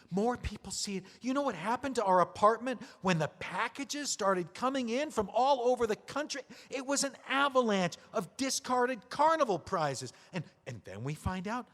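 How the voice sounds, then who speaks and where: An actor playing a bitter, drunk widower who rants sarcastically in an audio play. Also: male voice, high-pitched, a bit bright. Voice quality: sarcastic, ranting. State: drunk on whiskey. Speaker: widower memoir author. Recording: long hall reverb.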